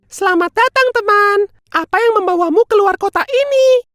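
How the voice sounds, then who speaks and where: A woman's voice: very high voice, normal speed, fairly bright tone, no echo, clean studio sound, soft background music. A woman voicing a cheerful, hospitable little country mouse with a bubbly, childlike delivery in a children's cartoon. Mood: cheerful, welcoming.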